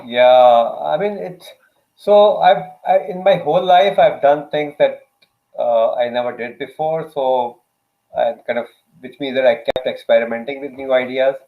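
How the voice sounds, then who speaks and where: A man's voice: medium pitch, measured pace. A man whose first language is Urdu, speaking as a guest in a casual interview, hesitant with many fillers and restarts. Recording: tinny phone-like line.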